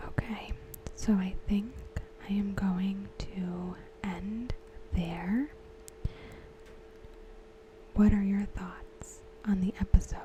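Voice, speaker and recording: A woman whispering softly as an ASMR host, talking through a TV episode. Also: mid-range voice, fairly even intonation, slow pace, long pauses, a little hiss or hum, poor audio. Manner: whispered, unvoiced and close. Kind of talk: soft-spoken ASMR commentary.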